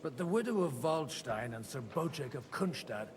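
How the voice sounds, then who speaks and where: A man's voice: on the high side, very animated, moderate pace. A man playing a weary, courteous noble envoy in a video game. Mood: dry, weary. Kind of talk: video game drama acting. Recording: noisy ambience around voice.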